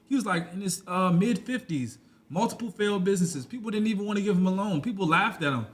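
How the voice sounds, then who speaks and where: A man's voice: high voice, fast, fairly bright tone. A man in his twenties, a podcast guest, talking loosely and rambling in a casual conversation. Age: 20s. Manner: loose and rambling, full of "you know what I'm saying".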